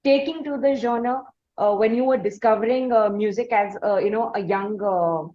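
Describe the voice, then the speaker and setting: A woman with an Indian accent asking conversational interview questions in halting, roundabout phrasing. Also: medium pitch, lo-fi sound, a little hiss or hum.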